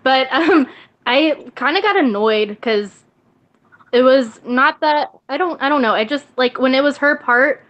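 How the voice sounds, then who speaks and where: A woman with a fairly high voice chats casually and hesitantly, rambling as she goes. The call audio is a little dull and lo-fi. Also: animated, measured pace, a bit bright. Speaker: book club member. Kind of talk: casual chat.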